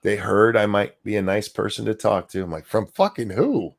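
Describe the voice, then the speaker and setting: A man hosting a casual live stream, ranting in a crude, sarcastic way with loud outbursts. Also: on the low side, normal speed, creaky. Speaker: stream host. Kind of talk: casual profane ranting chat.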